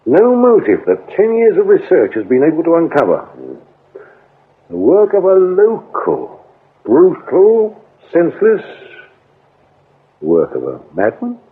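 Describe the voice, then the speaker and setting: Bossy dry british police inspector in a radio play, voice moves around a lot, tinny radio sound. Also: male voice, fairly high pitch, wildly swooping pitch, measured pace, pauses often, breathy edge, full character voice. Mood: dry, reflective. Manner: commanding, dryly sarcastic, thinks aloud. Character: brisk, sardonic male police inspector. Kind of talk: radio drama acting. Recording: tinny, band-limited old radio, faint noise.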